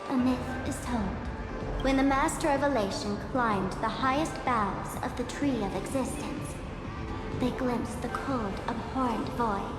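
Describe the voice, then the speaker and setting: A woman playing a mysterious, calm guide in a video game, speaking in a theatrical, measured, teasing way. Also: fairly high pitch, lightly acted. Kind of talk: dramatic game dialogue storytelling. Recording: echoey hall with loud music behind.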